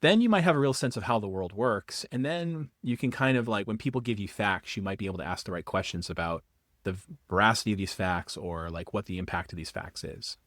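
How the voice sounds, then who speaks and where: Fast-talking man guest, dry close sound. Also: lowish voice, dry recording. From Boston area. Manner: quick, animated explaining with repeated restarts. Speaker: guest, political philosophy professor. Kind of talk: casual podcast interview.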